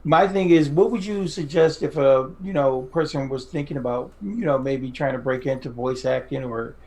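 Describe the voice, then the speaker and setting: A man's voice: medium pitch, moderate pace, darkish tone, low-quality recording. A man co-hosting a podcast interview in casual chat, rambling and complimentary as he asks questions.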